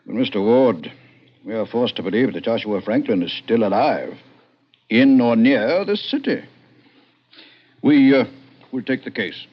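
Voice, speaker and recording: An actor playing a big, shady private detective boss in a radio drama, unctuous, courtly and formal in speech. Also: male voice, mid-range voice, animated, measured pace, long pauses. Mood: probing, businesslike. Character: huge, shady, smooth-talking male private detective boss. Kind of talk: 1950s radio drama acting. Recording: muffled vintage radio recording.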